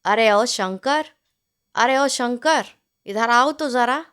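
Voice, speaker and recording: A woman voicing a demanding, food-obsessed male village landlord in a storybook tale, bossy and informal, quick to anger. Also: on the high side, fairly bright tone, clean tone. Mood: summoning. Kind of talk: storybook character dialogue.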